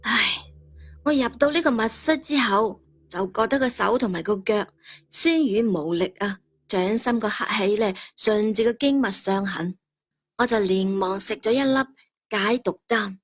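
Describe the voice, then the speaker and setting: A woman's voice: mid-range voice, moderate pace, lightly acted. A woman voicing a poisoned, fearful male monk who complains and begs urgently. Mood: bitter, anxious.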